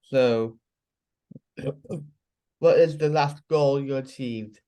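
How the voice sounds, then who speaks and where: A man's voice: medium pitch, drawn-out words, mellow, clear, resonant tone. An American man working as a podcast host, putting interview questions to a guest. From North Carolina, United States.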